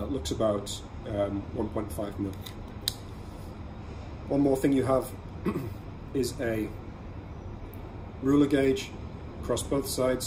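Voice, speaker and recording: A man with a mid-range voice presents a casual product review as a podcast host. He explains things conversationally, in short measured phrases. Clear ambient noise runs behind his voice. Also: medium pitch.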